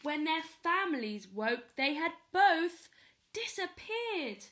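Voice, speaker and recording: A woman reading a children's story aloud in an expressive storytelling style. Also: very high voice, slight background noise. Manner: pauses to ask young listeners questions. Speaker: storyteller at a primary school.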